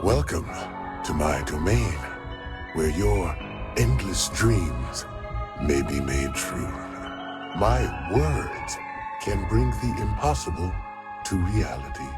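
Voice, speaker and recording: Cryptic otherworldly herald for a game: low, very measured, formal, over loud music. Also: male voice, big pitch swings, heavily acted. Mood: ominous, enticing. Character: solemn, cryptic otherworldly emissary of mysterious cosmic powers. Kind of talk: dramatic video game voice acting.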